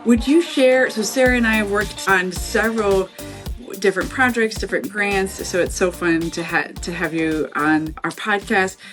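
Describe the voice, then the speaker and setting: A woman hosting a podcast interview, enthusiastic and quick with affirming interjections. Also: mid-range voice, fairly bright tone, faint music behind. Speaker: podcast host, nonprofit director.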